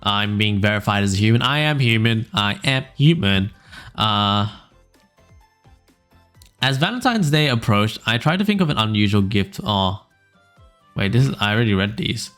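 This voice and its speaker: A young man hosting a casual podcast, reading funny stories aloud in a smooth low voice, rambling with fillers and small laughs. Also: moderate pace, pauses often. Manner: relaxed, rambling, frequent fillers and little laughs. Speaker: podcast host. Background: faint background music.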